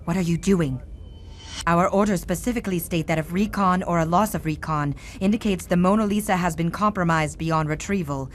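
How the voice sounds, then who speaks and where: Woman acting a low-voiced, cool ship's AI. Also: a little hiss or hum, close to an everyday voice. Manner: precise, formal, unflappable. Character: cool, calculating female ship's artificial intelligence. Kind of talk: motion comic voice acting.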